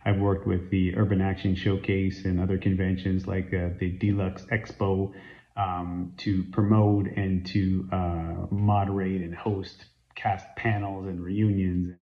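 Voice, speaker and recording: Canadian guy, 40s, low voice, eager rambling into his phone, echoey room, kinda dull audio. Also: little pitch movement, a little slow. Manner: earnest and enthusiastic, with frequent ums and uhs. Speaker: fan site founder and interviewee. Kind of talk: casual interview talk to camera. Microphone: phone camera at arm's length.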